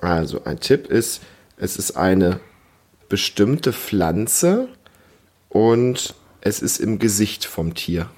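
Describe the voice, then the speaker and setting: A man's voice: lowish voice, big pitch swings, slow, darkish tone, breathy edge. A man hosting a casual family podcast, chatting in a patient, encouraging way and hesitating as he explains. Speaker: podcast host.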